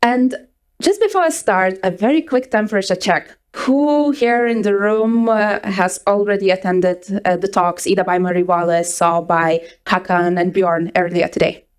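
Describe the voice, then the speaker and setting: A young woman from Lithuania with a Lithuanian accent, giving a lively conference talk with a personal story to a live audience. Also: mid-range voice, normal speed, clean tone. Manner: energetic, chatty, repeats words for emphasis. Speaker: conference speaker. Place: conference hall before an audience.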